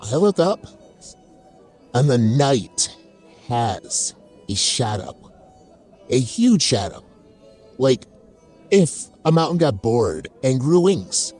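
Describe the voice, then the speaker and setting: Casting: theatrical, chaotic fantasy barkeep played by a man, very animated delivery, mellow tone, oversharing comic storyteller. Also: mid-range voice, takes it slowly, long pauses, soft background music. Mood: dramatic, awed. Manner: theatrical, wry, comically self-deprecating. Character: chaotic oversharing fantasy tavern keeper spinning tall tales. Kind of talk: dramatic first-person comic storytelling.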